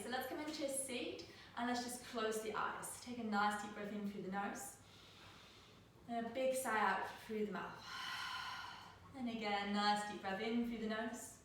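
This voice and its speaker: A middle-aged woman from Denmark leading a guided yoga class over a live video call, speaking in a soft, soothing way with slow, drawn-out cues. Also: mid-range voice. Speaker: yoga teacher. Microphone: laptop mic at a distance. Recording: very echoey room, distant mic, faint noise.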